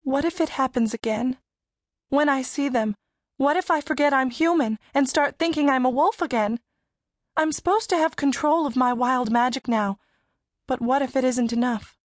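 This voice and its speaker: Audiobook heroine, voiced by a woman: earnest young teen girl, worried and plain, slightly high voice, very dry. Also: normal speed, no room sound, natural voice. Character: earnest, anxious fourteen-year-old country girl. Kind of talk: audiobook character acting.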